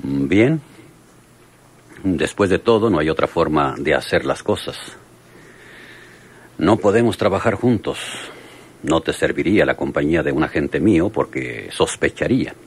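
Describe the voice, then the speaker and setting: An actor playing a cautious, grave Mexican police lieutenant in a radio drama, speaking with deliberate authority. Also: male voice, a bass voice, animated, breathy edge, full character voice. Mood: grave, advising.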